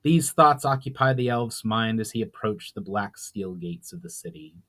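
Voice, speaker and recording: A man's voice: fairly low pitch, animated, normal speed, fairly bright tone. A man reading his own fantasy novel aloud as dramatic scripted narration.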